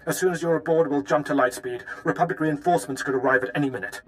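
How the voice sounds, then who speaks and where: A man's voice: a little high, fast talker, close to an everyday voice. A man playing a dutiful imperial starship captain in a science fiction game, clipped and military in manner. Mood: brisk.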